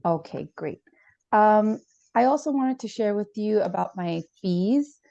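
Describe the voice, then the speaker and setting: A woman's voice: medium pitch, animated, measured pace, gravelly, warm tone, dry recording, lo-fi sound. A woman playing a student therapist in a role-played counseling session, explaining policies formally and hesitantly, with many fillers. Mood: calm, formal.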